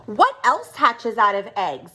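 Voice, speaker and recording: A woman's voice: on the high side, wildly swooping pitch, takes it slowly, pushed and bright, breathy edge, heavily acted. A woman voicing a small, innocent, curious young animal in a children's storybook, full of wonder and questions. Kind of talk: children's storybook read-aloud dialogue.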